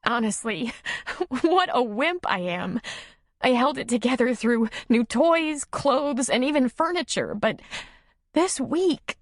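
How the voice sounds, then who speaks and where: A woman voicing a tearful, timid young single mother who confides haltingly in an audiobook story. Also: fairly high pitch, lively intonation, dry recording, studio quality, voice pushed up. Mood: tearful, overwhelmed. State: tearful, sniffling.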